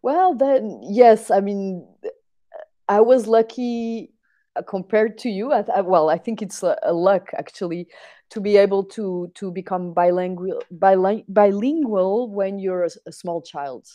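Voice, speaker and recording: A middle-aged woman whose first language is Portuguese, speaking slowly with many pauses and repeats. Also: mid-range voice, warm tone, dry recording. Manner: hesitant, many fillers and repeated words. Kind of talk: casual conversation.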